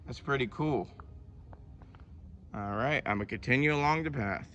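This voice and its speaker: A man's voice: medium pitch, normal speed, fairly bright tone, lo-fi sound, a little hiss or hum. A young man with a West Virginian accent, narrating a casual hiking vlog outdoors on a wooded trail, easygoing and chatty. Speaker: vlogger.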